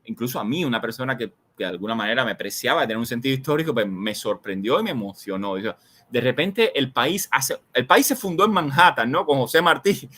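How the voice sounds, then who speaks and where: A man with a Cuban accent talks as a guest in a reflective conversation. His voice is bright and forceful at a middle pitch. He develops long, discursive thoughts, repeating and piling up words for emphasis. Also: animated, fairly quick. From Cuba. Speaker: interview guest, magazine editor. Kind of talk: conversational political and cultural reflection.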